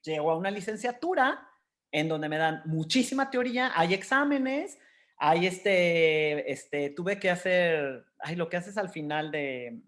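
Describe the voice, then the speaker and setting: A woman's voice: lowish voice, expressive, unhurried, fairly bright tone. Mexican woman in her fifties, candid storyteller. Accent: Mexican Spanish. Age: 50s. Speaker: interview guest. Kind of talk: candid personal storytelling in conversation.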